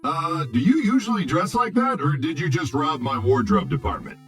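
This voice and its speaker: A vain robot Hollywood director from a video game, grumbles in a fairly high male voice. The delivery is theatrical and acid-tongued, with very lively swings in pitch. Faint music plays underneath. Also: normal speed. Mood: sarcastic.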